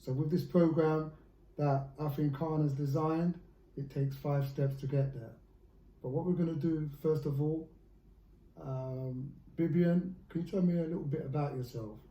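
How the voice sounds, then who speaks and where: A man's voice: on the high side, steady tone, moderate pace, warm tone, roomy sound, rough recording. A man leading a friendly coaching session, upbeat and joking about himself. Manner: upbeat, joking and self-mocking. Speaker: life coach.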